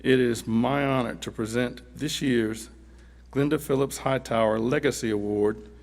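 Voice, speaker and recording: A man's voice: medium pitch, fairly even intonation, normal speed. A man reading a scripted speech as an award presenter at a ceremony, his voice echoing through a hall.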